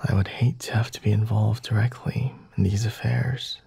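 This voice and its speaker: Casting: male actor as coy, aristocratic vampire master for ASMR roleplay. Husky half-whisper, gravelly fry, unhurried and formal. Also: lowish voice, fairly even intonation, warm tone, no room sound, full character voice. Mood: coy, guarded. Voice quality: breathy, husky, often whispering. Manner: formal, teasing, measured and deliberate. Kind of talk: ASMR roleplay, addressing the listener.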